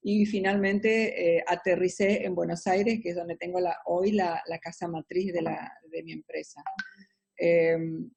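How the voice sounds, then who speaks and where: A middle-aged Argentine woman with an Argentine Spanish accent, answering interview questions about her business in an explanatory way, often pausing on fillers. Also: on the low side, little pitch movement, gravelly, darkish tone, husky. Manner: explanatory, hesitant with many fillers. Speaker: businesswoman guest.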